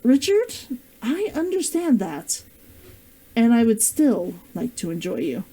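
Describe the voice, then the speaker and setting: A woman reads the part of a young fantasy romance heroine, bold and yearning. The voice is fairly high and mellow, forthright and questioning, in a storybook manner. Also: very animated, takes it slowly, breathy edge, heavily acted, rough recording.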